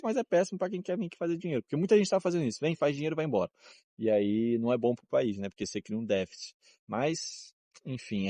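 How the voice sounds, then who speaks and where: A man's voice: medium pitch, warm tone, dry recording. Slangy young Brazilian man vlogging outdoors. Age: 20s. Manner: slangy, laid-back, drifts off topic, laughs often. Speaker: travel vlogger. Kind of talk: casual rambling vlog. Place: outdoors in cold wind.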